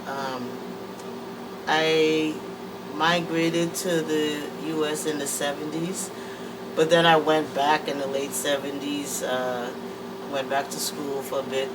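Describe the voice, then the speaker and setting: A woman, speaking as an interview guest in easygoing, hesitant casual talk with many fillers, in a music production room. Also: deep voice. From Trinidad and Tobago. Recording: distant mic with air-conditioning hum.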